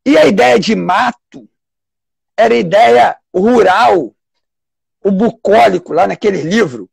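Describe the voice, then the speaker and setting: A man with a Brazilian Portuguese accent giving a live online lecture as a public defender. He explains step by step, pausing to think and restarting phrases. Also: high voice, vocal fry, slightly rough, dry recording.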